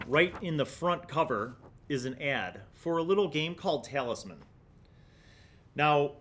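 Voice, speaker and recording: American male vlog host, 40s, lowish voice, reflective and explanatory, informal review delivery on a desktop studio mic. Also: fairly bright tone, a little hiss or hum. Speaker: host of a tabletop gaming review show. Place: small indoor studio. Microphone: large desktop studio microphone.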